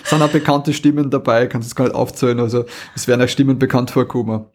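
A man with an Austrian accent co-hosting a podcast, giving casual reviews in a halting, repetitive, enthusiastic way. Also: mid-range voice, steady tone, darkish tone. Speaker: podcast co-host.

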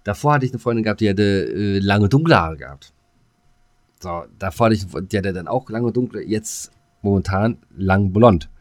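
Low-voiced man with twang. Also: expressive, normal speed, no echo, clean studio sound. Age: middle-aged. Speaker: podcast co-host. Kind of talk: casual chat.

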